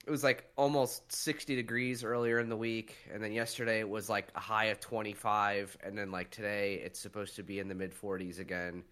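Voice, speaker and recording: A man's voice: lowish voice, level tone, brisk, few pauses, bright, forceful tone. A man co-hosting a casual podcast while stuffed up and nasal with a cold, rambling with lots of fillers and swearing. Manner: rambling, full of "like", swears freely. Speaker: podcast co-host.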